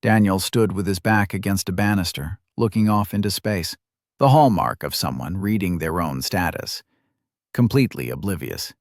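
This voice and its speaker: Guy narrating a fantasy audiobook, lowish voice, dry close sound. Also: expressive, moderate pace, dry recording. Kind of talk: scripted fantasy story narration.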